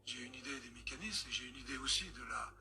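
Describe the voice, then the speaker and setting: A man, a former adviser, recalling his experience in a measured, matter-of-fact way. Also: medium pitch, sharp tone, slight background noise.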